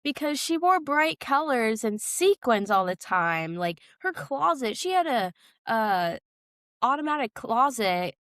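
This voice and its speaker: This is a young American woman co-hosting a casual podcast chat. Her voice sits a little high and her phrasing is slangy and emphatic. The recording is dry and close. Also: very animated, measured pace, a bit bright, no echo. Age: young adult. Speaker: podcast co-host.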